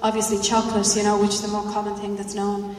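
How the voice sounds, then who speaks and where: A woman's voice: mid-range voice, completely flat delivery, quick pace, a little mellow. A woman answering at a panel discussion, rambling and full of "you know" asides. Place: large hall at a festival panel. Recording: roomy hall, distant mic, faint noise.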